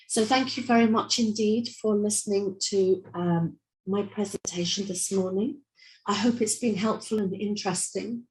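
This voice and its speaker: A woman giving a measured, formal conference presentation and introducing the next speaker. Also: medium pitch, low-quality recording. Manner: measured and formal, with occasional restarts. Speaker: seminar presenter and moderator.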